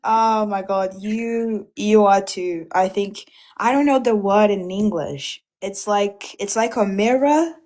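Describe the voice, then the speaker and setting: A woman's voice: mid-range voice, slow pace, few pauses, a little mellow. A woman from Brazil with a Brazilian Portuguese accent, calling in to a live stream and hesitantly searching for her words.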